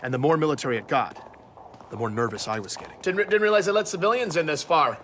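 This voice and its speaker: An actor playing a nervous investigative journalist in a scripted first-person audio drama. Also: male voice, a little high, a bit bright, slight background noise, natural voice. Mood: nervous, hesitant. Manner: measured narration, hesitant in dialogue. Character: nervous male investigative journalist narrating his own story. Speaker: narrator.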